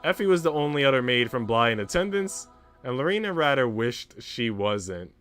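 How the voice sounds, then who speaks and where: A man's voice: mid-range voice, very animated, moderate pace, fairly bright tone. A man streaming a visual novel, reading its text aloud and adding casual asides, with music playing behind him.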